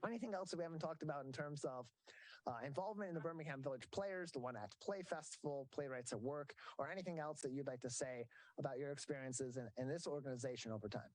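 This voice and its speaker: Local TV host, man, slightly high voice, dry close sound. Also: quick pace, darkish tone, no echo, poor audio. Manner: reads event dates and ticket details, corrects himself. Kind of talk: local TV interview.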